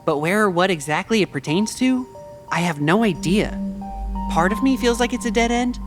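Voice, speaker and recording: An actor playing a reserved, bookish young tech researcher in an audio drama, speaking in a measured way. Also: mid-range voice, animated, natural voice. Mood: earnest, measured. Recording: clear music bed behind the voice.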